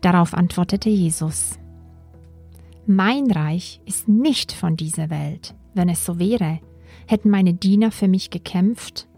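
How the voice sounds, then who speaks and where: Female scripture reader, husky and unhurried, faint music underneath. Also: fairly low pitch, mellow. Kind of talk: scripted Bible reading.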